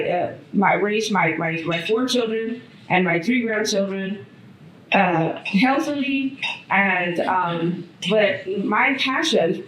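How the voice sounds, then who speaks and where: Loud bright-voiced woman, middle-aged panelist, echoey room. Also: medium pitch. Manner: loud voice, warm and self-deprecating. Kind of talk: panel discussion talk. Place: hotel event room at a brunch.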